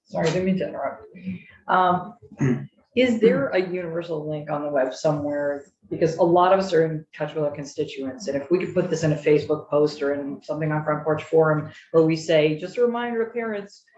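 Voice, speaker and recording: A woman's voice: sits low, brisk, darkish tone. A woman on a committee asking friendly, chatty questions. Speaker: senator on committee. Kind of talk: committee discussion. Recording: echoey, muffled room audio.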